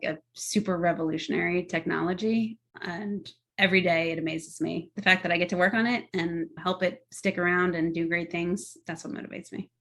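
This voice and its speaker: A woman's voice: on the low side, a bit bright. A middle-aged woman answering podcast interview questions in an articulate, earnest, enthusiastic way. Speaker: guest, tech policy executive.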